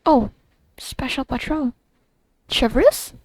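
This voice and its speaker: A woman's voice: sits high, lively intonation, slow pace, darkish tone, a little hiss or hum. A woman streamer giving casual gameplay commentary, thinking aloud and muttering reactions. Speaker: game streamer.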